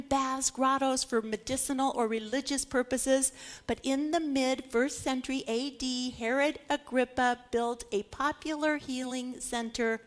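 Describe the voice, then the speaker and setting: High-pitched woman preaching with dramatic emphasis. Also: a bit bright. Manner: dramatic emphasis on key words; rhetorical questions to listeners. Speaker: preacher teaching scripture. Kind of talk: sermon with Bible history teaching.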